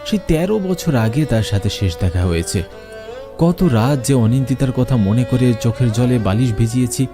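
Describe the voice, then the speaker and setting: A man's voice: medium pitch, animated, normal speed. A man narrating a first-person horror story in a dramatic, suspenseful way. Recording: music bed under the voice.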